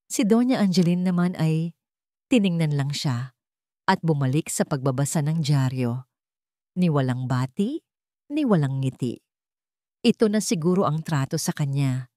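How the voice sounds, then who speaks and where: A woman's voice: low-pitched, expressive, normal speed, long pauses, mellow, no room sound, studio quality. A woman reading a scripted romance story as a dramatic narrator.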